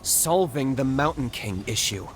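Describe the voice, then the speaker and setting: An actor playing a composed, terse young hunter in an anime game, calm and matter-of-fact. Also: male voice, medium pitch, a little slow, a little hiss or hum, natural voice. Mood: resolute. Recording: background music under the voice.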